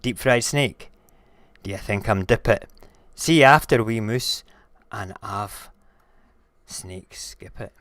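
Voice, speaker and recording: A man's voice: lowish voice, slow pace, long pauses, fairly bright tone, no echo. A man voicing a sly, inviting but easily spooked snake in a storybook, with a Scottish accent. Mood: alarmed. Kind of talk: storybook character reading.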